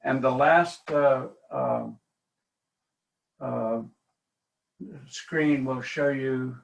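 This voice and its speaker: A man's voice: medium pitch, fairly even intonation, drawn-out words, vocal fry, muffled recording. A man, a reverend, speaking in a webinar, hesitant with many fillers. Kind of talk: webinar talk.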